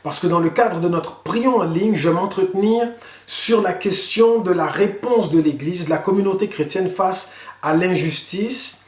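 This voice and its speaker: High-voiced earnest pastor, echoey room. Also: male voice. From Canada. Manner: earnest, measured, slows down on key words. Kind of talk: online sermon talk.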